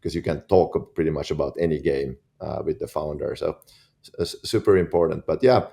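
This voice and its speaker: A man in his forties with a Finnish accent, a podcast guest who talks in an easy, relaxed way and often repeats words while he gets going. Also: extremely low pitch, a little fast, vocal fry. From Finland. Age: 40s. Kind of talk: casual interview talk.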